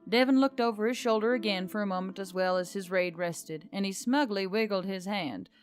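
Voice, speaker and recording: A woman's voice: mid-range voice, brisk. A woman reading scripted fiction as a narrator, telling a story in prose. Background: background music.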